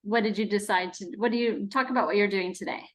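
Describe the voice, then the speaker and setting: A woman's voice: mid-range voice, steady tone, fast, a bit bright. A woman hosting a podcast, upbeat and welcoming as she introduces her show and asks her guest questions. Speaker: podcast host.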